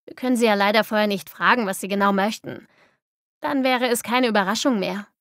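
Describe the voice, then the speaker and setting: A woman playing a sensible, caring young student in a scripted language-learning dialogue, with clear, tidy diction. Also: medium pitch, brisk, fairly bright tone, dry recording, clean studio sound, close to an everyday voice. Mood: approving, thoughtful. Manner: clear, tidy, textbook delivery.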